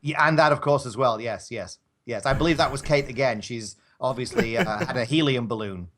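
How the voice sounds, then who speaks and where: A man's voice: mid-range voice, brisk, fairly bright tone, a little hiss or hum. A man chatting casually as a guest on a live show, rambling and modest about his own work. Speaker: guest, audio drama maker.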